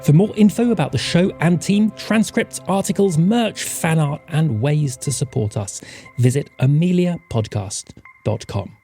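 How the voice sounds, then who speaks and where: Animated male credits announcer over music. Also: on the high side, unhurried. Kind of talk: scripted podcast credits read.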